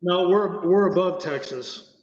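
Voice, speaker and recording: Man, raised-pitch voice, blunt, stammering restarts, echoey muffled call audio. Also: unhurried, a little mellow. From Oklahoma. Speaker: panel guest. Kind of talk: casual livestream chat. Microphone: online voice call.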